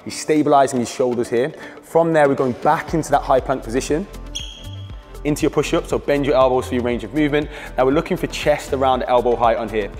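A British man guides a workout with calm, steady coaching cues. His voice carries a long reverb from the hall, with faint music under it. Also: medium pitch, brisk. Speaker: fitness coach. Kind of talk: instructional workout voice-over.

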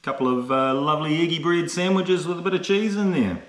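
A man's voice: fairly high pitch, brisk, a bit bright, no echo. A man narrating a casual camping and hiking vlog to camera in a chatty, understated way, often adding little tag questions. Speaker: solo hiking vlogger.

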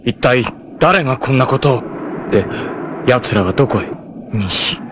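An actor playing a proud, passionate, fiercely protective martial-arts warrior in an anime, with a dramatic, terse, masculine delivery. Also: male voice, mid-range voice, animated, a little slow, noisy background, natural voice. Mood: brooding, solemn. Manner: dramatic, terse, masculine, swinging from hushed to shouting.

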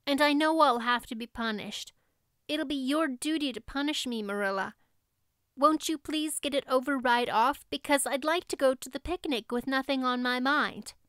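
A woman's voice: high voice, normal speed, a bit bright, clear, resonant tone, dry recording, clean studio sound, voice pushed up. A woman voicing an imaginative, earnest young girl with a theatrical, pleading manner, reading from a storybook. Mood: solemn, dreamy.

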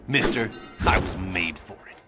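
An actor playing a wry, hard-boiled noir superhero who wisecracks in 1930s detective slang in a video game. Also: male voice, sits low, moderate pace, lightly acted. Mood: defiant. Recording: loud music behind the voice.

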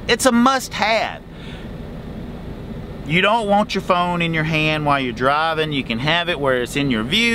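A folksy, chatty man in his forties with a drawl, talking through tips with an animated delivery and a slightly raised pitch. He records close to the camera inside a small truck cab, where an engine and air conditioning rumble is heard clearly behind him. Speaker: truck driver vlogger. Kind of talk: casual advice vlog. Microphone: camera in front at close range.

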